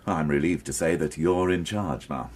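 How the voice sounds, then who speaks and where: An actor playing a brusque, exasperated police inspector in a radio crime drama. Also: male voice, very deep voice, unhurried. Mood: grave. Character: brusque middle-aged male police inspector. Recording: radio drama with background noise.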